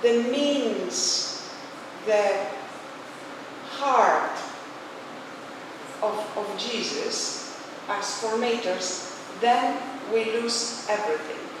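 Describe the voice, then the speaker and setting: A woman from Poland with a Polish accent, speaking non-native English haltingly as she gives a spiritual reflection in a speech. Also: on the high side, expressive. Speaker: religious leader, speaker. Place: large echoey hall, speaking to a gathering. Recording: echoey hall from far off, noisy.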